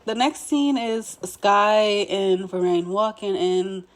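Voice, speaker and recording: A young woman, hoarse and a bit nasal while recovering from illness, casually recapping a show episode in a laid-back way, clearing her throat now and then. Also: medium pitch, takes it slowly. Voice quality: slightly nasal. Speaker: show commentator.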